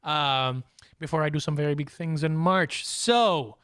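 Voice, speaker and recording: A man's voice: on the high side, unhurried, fairly bright tone, clear, resonant tone, no room sound, clean studio sound. A man hosting a podcast in a casual, chatty style. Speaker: podcast host.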